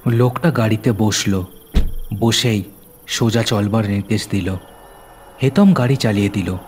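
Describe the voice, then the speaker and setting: A man narrating a horror story dramatically, with suspenseful, deliberate phrasing. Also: lowish voice, a little mellow, a little hiss or hum. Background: music.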